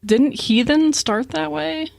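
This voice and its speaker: A man's voice: very high-pitched, slow pace, gravelly, no echo, studio quality. A man co-hosting a podcast, chatting casually and explaining things to listeners. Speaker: podcast co-host.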